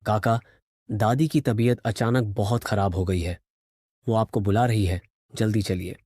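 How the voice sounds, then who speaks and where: A man voicing a village chief's young son, an urgent messenger in an animated moral story. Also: fairly low pitch, measured pace, dry recording, studio quality.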